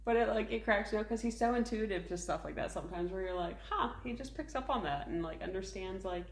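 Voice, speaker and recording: A woman's voice: medium pitch, fast talker, a little hiss or hum. A woman in her thirties co-hosting a casual parenting podcast, chatty and full of filler words. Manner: chatty, many "like" fillers, laughs often. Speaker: podcast co-host, mother. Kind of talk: casual chat. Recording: echoey room.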